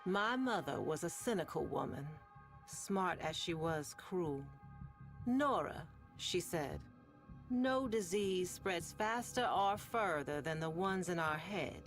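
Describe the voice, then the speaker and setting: A woman playing a sardonic, world-weary radio host in a sci-fi game, telling stories in a deliberate, ominous, wry way with long pauses over music. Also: lowish voice, animated. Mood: wry, cynical. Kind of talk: slow dramatic monologue to listeners, storytelling.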